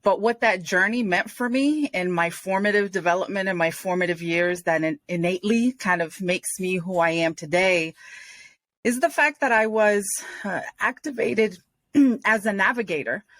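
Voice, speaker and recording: A woman's voice: mid-range voice, normal speed, fairly bright tone, no room sound. A woman in her forties from Rhode Island whose first language is Spanish, telling her story as a podcast guest with earnest enthusiasm. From Rhode Island, United States. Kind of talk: podcast interview.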